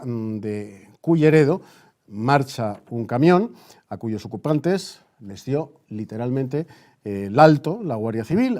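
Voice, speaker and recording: A man from the news desk speaks with an accent from Spain in a mellow, middle-pitched voice. He presents an old-style radio bulletin, pausing haltingly and repeating phrases for emphasis. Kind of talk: news bulletin in the manner of a 1930s radio newscast.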